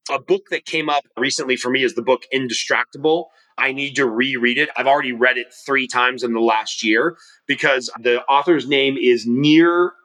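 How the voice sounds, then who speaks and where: A man in his forties, a guest on a podcast, talking fast and energetically, rambling with restarts. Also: mid-range voice, sharp tone, rough recording. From California. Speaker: podcast guest, mortgage professional and coach. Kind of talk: casual interview talk.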